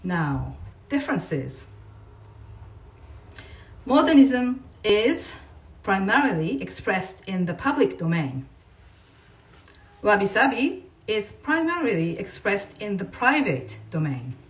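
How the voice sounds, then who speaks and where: A woman reading non-fiction aloud in a measured, deliberate way, like a writer presenting a text. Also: mid-range voice, sing-song. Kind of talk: read-aloud of non-fiction with brief informal asides. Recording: voice distant from the mic.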